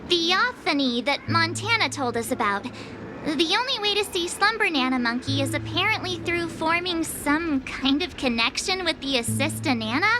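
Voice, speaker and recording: A perky, teasing young woman adventurer in a video game, chatting in a bubbly, very high voice. Her pitch bounces up and down lively as she pokes and questions. Loud game music runs underneath. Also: moderate pace. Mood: chirpy.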